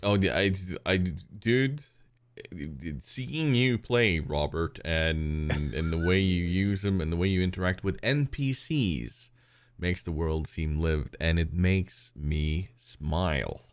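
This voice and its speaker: Deep voiced guy chatting on a podcast, bouncy delivery, pops into goofy high voices, dry sound. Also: slow pace, clean tone, no echo. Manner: animated, acts out quotes in loud high voices. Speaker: podcast co-host. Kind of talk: casual chat.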